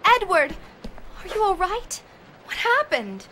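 High-voiced, earnest young policewoman. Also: sing-song, slow pace, full character voice. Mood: alarmed. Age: young adult. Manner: firm, indignant, emphatic. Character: earnest, defiant young female police officer. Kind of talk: video game cutscene acting. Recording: loud train rumble and ambience behind.

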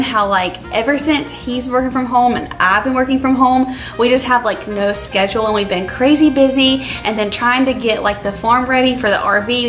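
Woman in her 30s doing a casual cooking vlog, kinda high voice, chatty, bit of music behind. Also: a bit bright. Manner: chatty, informal, laughs easily. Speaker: host of a home cooking vlog. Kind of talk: casual cooking narration and chat. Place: home kitchen.

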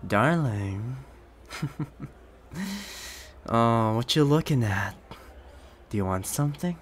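A man playing a rich, possessive, doting boyfriend in a soft-spoken, coaxing ASMR roleplay. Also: medium pitch, expressive, slight background noise. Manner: soft-spoken, unhurried, coaxing with pet names. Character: rich possessive young boyfriend, smooth, doting, quietly menacing.

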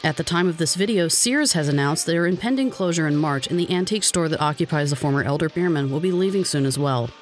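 A man with an American accent reading scripted documentary narration in a measured, informative way. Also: fairly high pitch, lively intonation. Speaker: video narrator. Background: background music.

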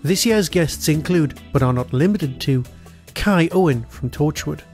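A man's voice: on the high side, lively intonation, a little slow, a little mellow, faint music behind. A man hosting a podcast, delivering a review as a monologue and often answering his own rhetorical questions.

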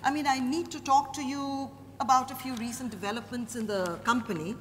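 Poised Indian businesswoman mother, played by a woman, courteous but disapproving, high voice, staged reading in a reverberant hall. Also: fairly even intonation, a little fast, a bit bright. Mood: measured, critical. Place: on stage in a large hotel ballroom. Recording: echoey ballroom stage, noisy background.

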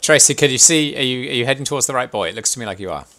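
A man's voice: mid-range voice, expressive, rapid-fire, sharp tone, slight background noise. A young man leading a sailing club game session on a headset mic, chatty, laughing often and thinking aloud as he plays. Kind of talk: casual instructive chat while gaming.

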